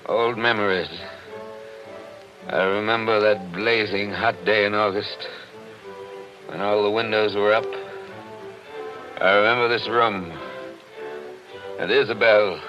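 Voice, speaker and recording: Deep-voiced, brooding haunted by memories. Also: male voice. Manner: wistful, theatrical delivery. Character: Joe Parker, brooding nostalgic man haunted by old memories. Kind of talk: 1940s radio acting. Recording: dull vintage radio recording with music.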